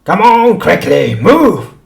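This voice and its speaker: A man's voice: high voice, sing-song, slow pace, vocal fry, reverberant, pitched above the performer's own voice, heavily acted. A man voicing a bullying, fawning, greedy sideshow shopkeeper for an audiobook, with emphatic, servile delivery. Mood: angry, barking. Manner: fawning, emphatic, says sir repeatedly. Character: loud, grinning, greedy male sideshow shopkeeper, bullying and obsequious. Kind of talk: audiobook character voice.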